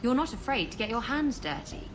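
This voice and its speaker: An actress playing a flirty, teasing roguish adventuress in a video game, with a playful, suggestive drawl. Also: female voice, on the high side, very animated, a little fast, noisy background. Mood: relaxed, friendly. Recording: game audio over clear background ambience.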